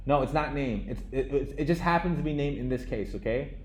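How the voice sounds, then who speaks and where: A young man leads a live coding class in a relaxed, chatty way, restarting sentences and checking in with the students. His pitch sits mid-range for a man. A faint hiss runs under the recording. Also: brisk. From United States. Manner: casual, animated teacher, many restarts and "okay?" checks. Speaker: coding instructor.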